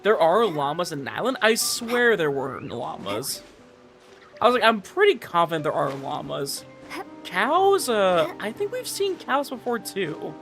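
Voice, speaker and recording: A streamer chatting casually over a game, rambling and emphatic. The medium-pitched voice swoops around a lot, with faint music behind it. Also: sing-song, a little slow, vocal fry, a bit bright. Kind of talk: casual gaming stream commentary.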